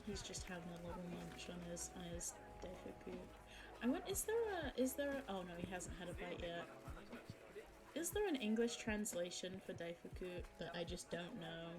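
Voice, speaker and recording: A young woman streamer chatting casually with viewers, giggling and teasing herself, her voice a little low for a woman and her throat sore. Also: lively intonation, moderate pace. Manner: chatty, giggly, self-mocking. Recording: music playing behind the voice.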